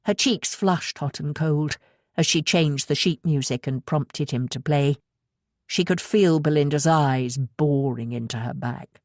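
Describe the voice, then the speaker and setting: A woman with a husky voice reading a novel aloud in a measured, expressive storytelling manner. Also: deep voice, no echo, studio quality. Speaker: audiobook narrator. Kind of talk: scripted fiction narration.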